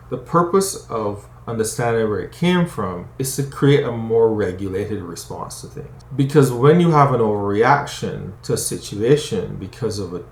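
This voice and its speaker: A man's voice: on the low side, a little mellow. A man from Barbados, a conflict coach and psychologist, giving an educational talk to camera in a conversational way and often asking "right?". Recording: roomy echo.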